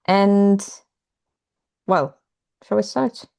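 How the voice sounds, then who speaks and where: A woman's voice: mid-range voice, extremely slow, gravelly, mellow. A young woman co-hosting a casual podcast, cheerful and giggly as she asks the questions. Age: young adult. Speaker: podcast co-host, language teacher. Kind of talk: casual chat.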